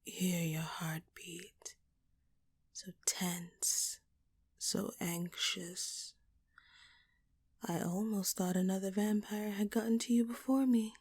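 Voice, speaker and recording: A woman playing a dominant, hungry female vampire in an audio roleplay, taunting and menacing her prey in a condescending, breathy voice. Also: medium pitch, fairly even intonation, a little mellow. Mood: hushed, possessive. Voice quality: breathy, often dropping toward a whisper. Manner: slow, teasing, condescending, menacing. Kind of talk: audio roleplay acting, addressed to the listener.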